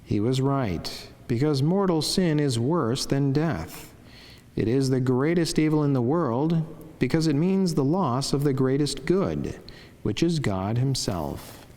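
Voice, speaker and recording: A man with a medium-pitched voice reads a religious devotion from a script. His delivery is solemn, formal and measured. Every phrase carries a long, church-like reverberant tail. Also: animated, a little mellow. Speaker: religious narrator reading a saint's life and devotional meditation.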